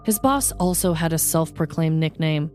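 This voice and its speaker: A woman narrates a scripted true-crime tale for comedy, as a podcast host. Her voice sits fairly low. Music plays underneath. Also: expressive, moderate pace.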